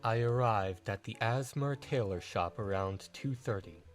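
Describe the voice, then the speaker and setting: A man's voice: fairly low pitch, unhurried, faint music behind, lightly acted. A man playing a smug, theatrical writer on the witness stand in an audio drama, with a suave, taunting and grandiloquent manner. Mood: composed testimony.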